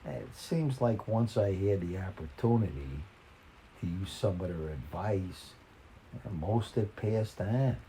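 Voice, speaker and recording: An older man reading a personal story in a folksy, plain-spoken, conversational way, with halting, reflective pauses. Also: low voice, lively intonation, mellow, slight background noise, low-quality recording.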